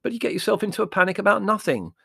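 A man's voice: high-pitched, sing-song, rapid-fire, gravelly, fairly bright tone, slightly rough, no echo, clean studio sound, pitched above the performer's own voice, full character voice. A man voicing a witty, dismissive young man in a storybook reading, airy, mocking and irritable. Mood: exasperated.

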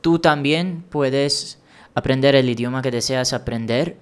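A man from India hosting a language-learning podcast, giving advice in a measured, encouraging solo talk with a few small restarts. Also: mid-range voice, clear, resonant tone.

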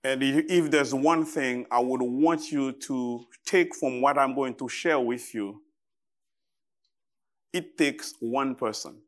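A man from Haiti with a Haitian accent delivers a sermon to a congregation as a guest preacher. His voice sits at a middle pitch, and he takes his time, setting out short phrases deliberately with halting pauses.

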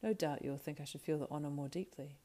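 A woman voicing a young male hobbit, frightened, grumbling and excitable, in a plaintive, exclaiming way for a storybook reading. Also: deep voice, quick pace. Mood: grumbling, uneasy.

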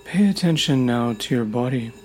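A man leading a guided meditation in a soothing, deliberate way, leaving long pauses between short phrases. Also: medium pitch, animated. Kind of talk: guided meditation, gentle instruction. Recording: loud humming meditation tones throughout. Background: gentle music with sine tone and singing bowls.

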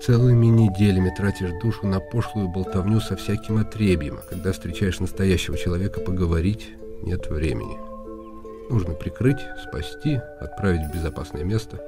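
Deep-voiced, weary, scornful nobleman. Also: normal speed, over music. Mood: bitter, weary. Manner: brooding, bitterly ironic. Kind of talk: radio play inner monologue.

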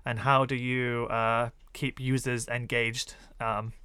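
Male podcast host, northern English accent, chatty and quick to laugh, middle pitch. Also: little pitch movement, bright, forceful tone, clean tone, no echo. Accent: Northern English (Yorkshire). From northern England. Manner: friendly, chatty, laughs easily. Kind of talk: casual interview chat.

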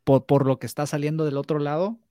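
A man's voice: on the high side, level tone, quick pace, dark tone, no echo, clean studio sound. A young man, talking candidly on a podcast, hesitant, with restarts and slips into English words. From United States. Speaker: podcast co-host. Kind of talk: casual podcast conversation.

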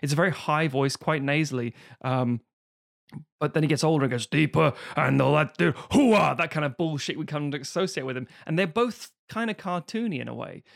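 A man's voice: fairly high pitch, brisk, gravelly. A man co-hosting a podcast, ranting in casual chat and swearing freely. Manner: swears freely, animated rants. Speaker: podcast co-host.

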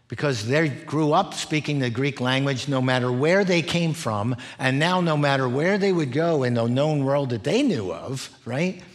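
Middle-aged man preaching a teaching sermon. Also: on the high side. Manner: explanatory, often checks in with "right?" and "okay?". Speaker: preacher, former missionary. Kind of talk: expository sermon, teaching in numbered points.

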